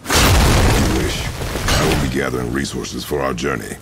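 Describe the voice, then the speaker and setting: Very deep, stern warrior. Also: male voice, steady tone, unhurried, loud music behind the voice. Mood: stern, curt. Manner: curt, formal, no contractions. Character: stern, terse, battle-hardened warrior father. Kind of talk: video game character acting.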